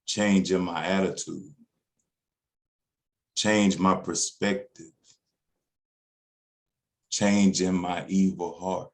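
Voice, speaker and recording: A man reciting spoken word poetry in a deliberate, dramatic way with emphatic pauses. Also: sits low, steady tone. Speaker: poet.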